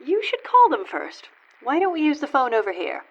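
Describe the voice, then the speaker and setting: A woman acts a secretive, evasive character in a radio comedy. Her high voice swings extremely widely, with a slightly dull lo-fi sound. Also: wildly swooping pitch, moderate pace, exaggerated voice. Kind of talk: comedic radio play acting.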